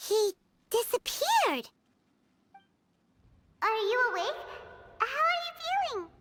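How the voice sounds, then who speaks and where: A woman voicing a tiny, excitable, childlike fairy companion in a video game, with a squeaky voice and an exclamatory manner. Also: slow pace, noisy background, full character voice. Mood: alarmed. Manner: exclamatory, refers to self in third person. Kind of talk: video game character acting.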